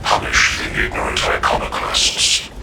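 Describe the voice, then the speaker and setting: An actor playing a male machine-priest who intones ritual status readouts in a flat, mechanical chant. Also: extremely low pitch, measured pace, creaky, echoey room, far from the mic, heavily acted. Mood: solemn litany. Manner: liturgical, precise, reverent to the machine. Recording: game audio over loud music.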